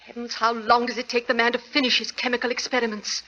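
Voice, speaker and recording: A woman's voice: on the high side, level tone, quick pace, bright, forceful tone, lo-fi sound. An actress playing an anxious, imperious young lady of the house in dramatic 1940s radio style. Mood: impatient, uneasy. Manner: dramatic, breathlessly emotional.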